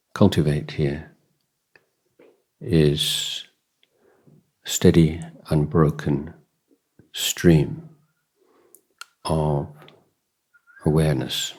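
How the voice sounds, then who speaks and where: A man who teaches meditation as a Buddhist monk, speaking in a measured, deliberate way with long pauses in mid-phrase and a husky voice. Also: extremely low pitch, fairly even intonation, darkish tone. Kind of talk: guided meditation and dhamma talk.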